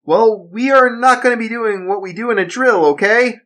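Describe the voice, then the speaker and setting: A man voicing a retired assassin father, steady and blunt, protective of his son. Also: very high voice, normal speed, voice pushed up. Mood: reassuring. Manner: reassuring to his son, defiant to enemies. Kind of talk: amateur storytelling.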